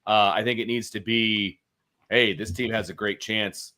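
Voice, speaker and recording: Bright-voiced man giving emphatic sports opinions. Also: on the low side, fairly even intonation, unhurried. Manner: emphatic, colloquial, repeats key phrases. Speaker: sports show co-host and analyst. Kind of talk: casual opinionated sports chat.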